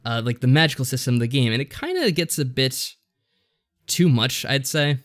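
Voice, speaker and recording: A man speaks in a casual, conversational way at a middle pitch. He feels his way through what he is saying, hesitant and loosely rambling, as a podcast co-host does in an informal discussion. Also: moderate pace, fairly bright tone. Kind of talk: casual discussion recapping a visual novel.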